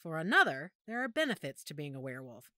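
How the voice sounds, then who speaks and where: A woman playing a knowledgeable werewolf pack member in an audio drama, speaking in a measured, authoritative, explanatory way. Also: low voice, sing-song, bright, forceful tone, no echo, clean studio sound, full character voice. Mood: informative, assured. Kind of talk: audio roleplay drama acting.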